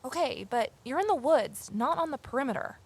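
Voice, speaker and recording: Casting: amateur sleuth woman, slightly high voice, pointed, questioning, expressive audio drama delivery. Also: fairly quick, husky, exaggerated voice. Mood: startled, accusing. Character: Evelyn, sharp, inquisitive amateur sleuth woman. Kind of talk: full-cast audio drama acting, narration and dialogue.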